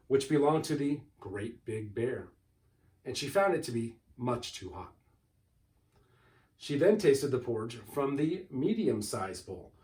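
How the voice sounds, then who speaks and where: A man reading a children's storybook aloud in a deliberate, expressive way, recorded from a distance. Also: medium pitch. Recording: distant microphone.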